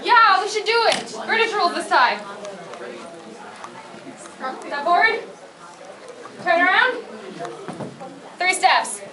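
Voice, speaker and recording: A woman's voice: very high-pitched, very animated, slow, long pauses, a crowd in the background, full character voice. A woman as prickly polite teen anime boy, echoey. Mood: combative. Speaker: cosplay panelist. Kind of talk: improvised in-character panel banter. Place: convention panel room with audience. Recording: echoey noisy convention room, far from mic.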